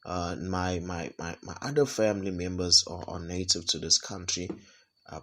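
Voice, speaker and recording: A South African man hosting a podcast, giving a reflective weekly review monologue with a halting delivery full of repeated words and fillers. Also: lowish voice. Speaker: podcast host.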